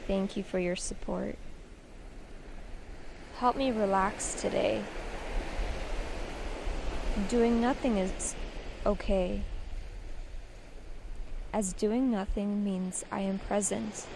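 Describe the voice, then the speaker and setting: Slow, creaky-voiced woman guiding meditation. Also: medium pitch, steady tone, noisy background. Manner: slow, soothing, measured instruction with pauses. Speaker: meditation guide. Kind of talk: guided meditation.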